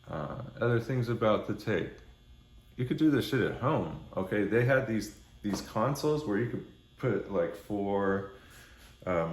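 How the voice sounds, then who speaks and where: Low-pitched man rambling in an echoey room. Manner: blunt, laid-back, sweary. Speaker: music vlogger. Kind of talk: casual rambling talk to camera. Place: small indoor room with neon signs. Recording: roomy echo.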